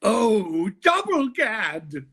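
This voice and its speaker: A man's voice: sits high, wildly swooping pitch, extremely slow, a bit bright, breathy edge, no echo, studio quality, exaggerated voice. A man playing a stuffy, easily scandalized husband in a 1940s-style radio sitcom, pompous and given to bluster. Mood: aghast.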